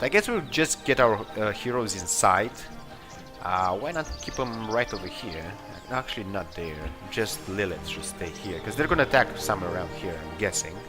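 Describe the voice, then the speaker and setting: Low-voiced man commentating a video game. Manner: hesitant, thinking aloud while deciding moves. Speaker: let's play host. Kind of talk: casual improvised gameplay commentary. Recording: game music under commentary. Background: video game music and battle sounds.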